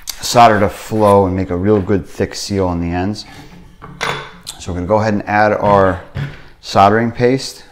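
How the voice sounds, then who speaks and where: Easygoing low-voiced plumber explaining. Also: male voice, slight background noise. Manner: plain, easygoing step-by-step explaining with fillers. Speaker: plumbing instructor. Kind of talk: hands-on how-to demonstration. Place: indoor workshop bench.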